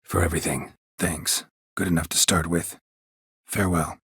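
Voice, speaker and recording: An American man voicing a stoic, world-weary, middle-aged monster hunter in a video game, with a gravelly, husky voice and a deadpan, clipped delivery. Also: low voice, moderate pace, no room sound, studio quality, full character voice. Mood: dry, polite. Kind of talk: video game character dialogue lines.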